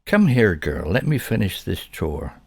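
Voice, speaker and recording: A man playing a calm, patient dog owner in a storybook, speaking gently and soothingly. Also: low voice, a little slow, vocal fry, dry recording, studio quality, exaggerated voice.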